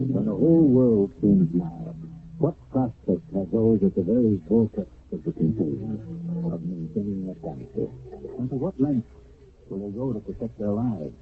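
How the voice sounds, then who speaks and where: Solemn male radio-drama host, gravelly and tinny. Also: fairly low pitch, a little hiss or hum. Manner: measured, solemn storytelling. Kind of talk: scripted dramatic narration. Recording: tinny lo-fi old radio broadcast.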